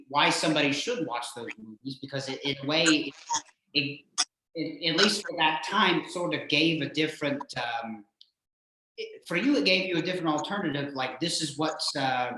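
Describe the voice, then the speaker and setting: A man hosting a casual interview, agreeable and halting, often repeating words as he goes. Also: a little high, background noise. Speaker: host, interviewer. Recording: very echoey, reverberant room.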